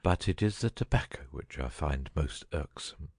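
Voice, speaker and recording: An actor playing a cunning detective with a husky voice in a dramatic audiobook reading. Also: male voice, very deep voice, expressive, normal speed, darkish tone, dry recording, studio quality, full character voice. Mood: composed, wry. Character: cunning male detective, first feigning a dying illness, later brisk.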